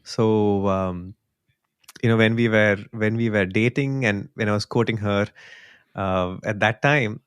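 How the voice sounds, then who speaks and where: A man's voice: lowish voice, steady tone, unhurried, clean tone, dry recording. A man from India in a casual podcast chat, speaking earnestly and thinking aloud. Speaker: podcast co-host. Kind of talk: casual conversation.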